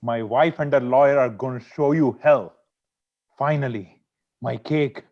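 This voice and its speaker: A man's voice: medium pitch, unhurried. A man playing an aggrieved, sulky husband in an amateur script table read, over a dull lo-fi call. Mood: smug.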